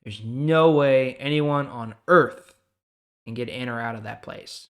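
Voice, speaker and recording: A man hosting a Bible reading series, speaking conversationally with dramatic pauses and emphasis as he reads and comments. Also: medium pitch, a bit bright, clean tone. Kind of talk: casual devotional reading and commentary.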